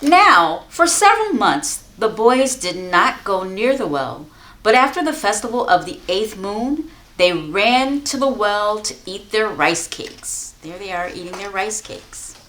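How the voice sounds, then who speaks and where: A woman's voice: mid-range voice, sing-song, a little slow, a bit bright, echoey room. A woman reading a children's picture book aloud as a teacher, with chatty asides to young listeners. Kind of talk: storybook read-aloud.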